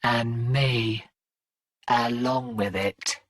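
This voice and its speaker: A woman's voice: very deep voice, steady tone, very slow, no room sound, clean studio sound, far from the mic, exaggerated voice. A woman voicing a tough, sardonic, weary streetwise mercenary in a video game, with clipped, slangy delivery. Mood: grave, resolute. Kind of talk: scripted video game character dialogue.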